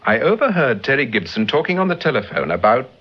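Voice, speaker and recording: An actor playing a suave, clever British detective in mid-century radio drama style, on an old recording. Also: male voice, medium pitch, big pitch swings, fast, husky, highs cut off. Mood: calm, confident. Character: suave, clever amateur detective husband, patiently explaining.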